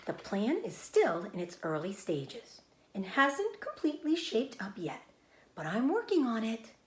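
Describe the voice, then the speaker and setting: Woman as a calm, firm motherly spider. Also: a little high, echoey room. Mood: cool, collected. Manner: comforting, measured, firm. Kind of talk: children's storybook read-aloud.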